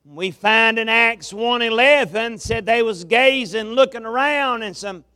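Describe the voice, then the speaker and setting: A man with a Southern US accent preaching a revival sermon in a folksy, drawn-out cadence, with some gravel in his voice, speaking into a pulpit mic in a large tabernacle. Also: very high voice, sharp tone, dry recording, clean studio sound. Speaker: pastor, guest preacher. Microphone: amplified mic at a pulpit.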